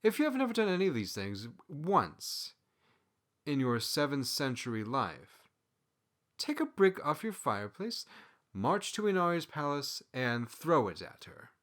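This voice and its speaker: A man's voice: fairly high pitch, wildly swooping pitch, fairly bright tone, pitched above the performer's own voice, full character voice. Man reading as a probing, forgiving friar. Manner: measured rhetorical questioning.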